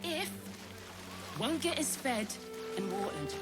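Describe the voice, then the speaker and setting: Slow, curt scavenger woman. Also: on the high side, big pitch swings, over loud music. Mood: curt, territorial. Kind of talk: video game character acting.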